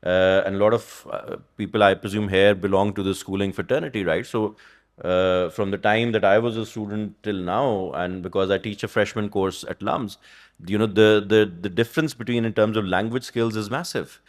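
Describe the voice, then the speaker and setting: A man's voice: fairly low pitch, fairly even intonation, normal speed. A man from Pakistan, an economist speaking on a conference panel in a thoughtful, rambling way, often asking "right?". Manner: thoughtful, rambling, frequent "you know" and "right?". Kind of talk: panel discussion. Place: conference stage.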